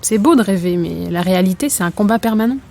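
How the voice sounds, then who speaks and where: A woman's voice: mid-range voice, quick pace, creaky, mellow, natural voice. A woman playing an embattled, doubtful young athlete in a French audio drama, earnest and colloquial. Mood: anxious, defensive. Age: young adult. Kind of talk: naturalistic French audio fiction acting.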